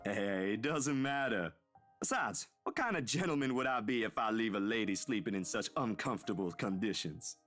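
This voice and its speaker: A man voicing an easygoing, protective young man in a visual novel, talking in a casual, gently teasing way. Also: on the low side, expressive, moderate pace, soft background music. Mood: warm, playful.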